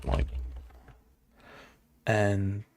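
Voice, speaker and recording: A man's voice: lowish voice, monotone, drawn-out words, creaky, a little mellow, a little hiss or hum. A man thinking aloud as he writes game code, hesitant and muttering, full of fillers and questions to himself. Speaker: game developer coding on stream. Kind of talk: thinking aloud while coding. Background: keyboard typing and clicking.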